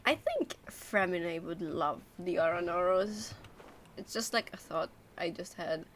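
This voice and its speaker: A woman's voice: fairly low pitch, a little slow, a little hiss or hum. A woman game streamer reacting casually as she plays. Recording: faint game sound behind.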